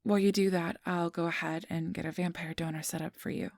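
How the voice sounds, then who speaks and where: A woman playing a kindly, apologetic registration clerk in an ASMR roleplay, speaking softly and often in a whisper with a gentle, reassuring manner. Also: on the low side, fairly even intonation, quick pace, no room sound, lightly acted. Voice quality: soft, breathy, often whispered. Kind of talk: ASMR roleplay, soft whispery speech to the listener.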